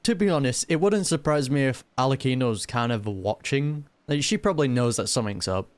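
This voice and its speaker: A man's voice: medium pitch, lively intonation, a little fast, background noise. A man giving casual, joking reaction commentary as a game streamer.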